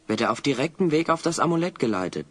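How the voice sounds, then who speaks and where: A man's voice: fairly high pitch, big pitch swings, fast talker. An actor playing a determined, thoughtful heroic adventurer in an audio drama, explaining things earnestly to his companion.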